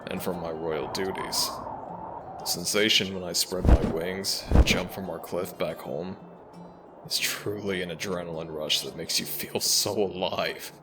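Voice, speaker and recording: A man playing a smitten young dragon shifter prince in a romantic ASMR audio roleplay, speaking tenderly and a little haltingly to the listener. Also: sits low. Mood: warm, elated.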